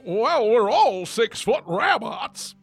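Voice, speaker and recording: A cranky old rabbit in a spoof radio ad. The voice is very high and rough, with creaky edges and a folksy, excitable, theatrical delivery. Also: male voice, takes it slowly, no room sound, soft background music, heavily acted. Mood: nostalgic. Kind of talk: spoof radio commercial acting.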